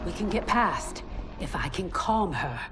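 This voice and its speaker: A woman's voice: lowish voice, big pitch swings, unhurried. A woman playing a resolute, principled warrior woman with a defiant, scornful edge in a dramatic video game scene. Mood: focused. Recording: music behind the voice.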